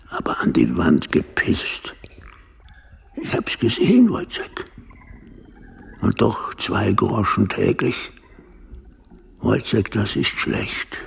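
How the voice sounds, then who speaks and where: An actor playing a pompous, fanatical doctor in a German radio play, hectoring and declamatory, lurching between muttering and shrill cries. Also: male voice, vocal fry, husky, heavily acted. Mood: agitated, scolding. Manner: declamatory, erratic swings from low mutter to shrill cry.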